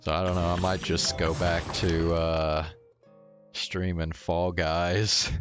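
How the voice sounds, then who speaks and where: Male streamer, very deep and slow, hesitant casual chat, game music behind. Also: flat delivery, a bit bright. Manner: hesitant, musing, trailing off. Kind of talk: casual livestream chat to viewers. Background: video game music.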